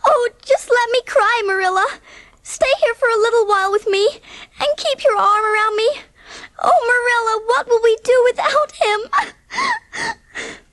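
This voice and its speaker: A woman playing an earnest, impulsive, warm-hearted teenage orphan girl in an audiobook drama, speaking with eager emotion. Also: extremely high pitch, expressive, unhurried, dry recording, heavily acted. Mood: grieving, tearful.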